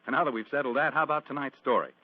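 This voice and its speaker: An actor playing an affable, breezy radio announcer and host in a 1940s-style drama, prompting an old storyteller. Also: male voice, mid-range voice, racing, no room sound, natural voice. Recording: tinny, narrow old radio recording.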